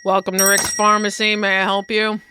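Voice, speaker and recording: A woman's voice: medium pitch, monotone, background noise, lightly acted. A woman playing a blunt, teasing pharmacy clerk in a comedy sketch, firing off questions in a mocking way. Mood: helpful. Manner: mocking, rapid-fire questioning. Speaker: sketch actor.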